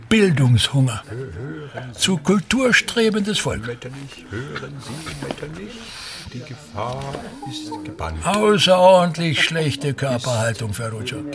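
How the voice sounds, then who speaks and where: A man's voice: fairly high pitch, exaggerated voice. An actor playing a pompous, self-important older theatre man and tyrannical father, declaiming disdainfully and repeating his phrases in a radio drama. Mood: haughty musing.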